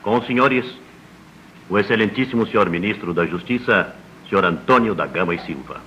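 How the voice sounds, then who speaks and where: A faintly noisy 1960s radio recording of a Brazilian male announcer. His voice is fairly low and sharp, with a formal, ceremonious, measured delivery. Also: highs cut off.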